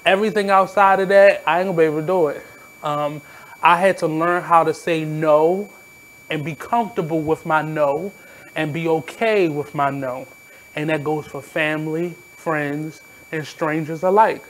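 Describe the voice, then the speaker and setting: High-voiced man hosting a radio show. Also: fairly even intonation, moderate pace, slight background noise, rough recording. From Baltimore, USA. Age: 30s. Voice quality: naturally high voice. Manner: candid, blunt, reflective. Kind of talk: casual monologue.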